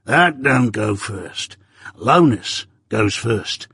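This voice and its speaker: A man reading an audiobook voices a rough old male ex-convict who dotes and blusters in a broad rustic dialect. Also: medium pitch, lively intonation, measured pace, fairly bright tone, no room sound, studio quality, exaggerated voice. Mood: earnest. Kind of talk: audiobook character voice.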